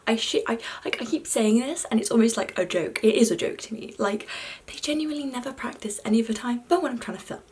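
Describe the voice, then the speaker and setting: A young woman from Belgium, chatting in an unscripted vlog, talking fast with lots of fillers. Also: a little high, darkish tone. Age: young adult. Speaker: vlogger.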